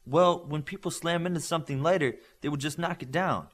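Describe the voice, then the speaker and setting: A man's voice: fairly high pitch, brisk, voice pushed up. A man reading the part of a mild, cautious peacemaking boy with powers in an audiobook, questioning and agreeable. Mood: reasoning.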